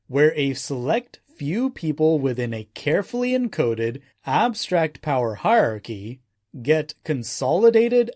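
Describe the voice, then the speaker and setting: A man narrates non-fiction from a script in a measured, emphatic way. His pitch moves in lively swings while the delivery stays slow. The sound is dry and close, with no room around the voice. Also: husky, dry recording. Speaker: audiobook narrator.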